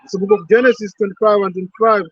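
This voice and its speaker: A man reading verses aloud at an outdoor street sermon, halting and restarting, chiming in with short agreements. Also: sits high, steady tone, breathy edge, dry recording, dull audio. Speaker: scripture reader.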